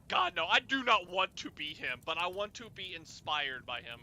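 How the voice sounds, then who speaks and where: A man hosting an informal chat, telling rambling stories in a halting, animated way and swearing at times. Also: high voice. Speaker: host of an informal fireside chat. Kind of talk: casual chat, rambling storytelling.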